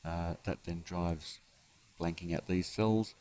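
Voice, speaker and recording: A man giving an unscripted software tutorial, explaining step by step in a halting way as the developer. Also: sits low, steady tone, dull audio. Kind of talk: unscripted screencast tutorial narration.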